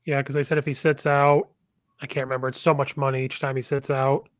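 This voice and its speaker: Male co-host, hoarse voice, offhand, dry close recording. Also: mid-range voice, level tone, a little fast, no echo. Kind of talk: casual sports talk.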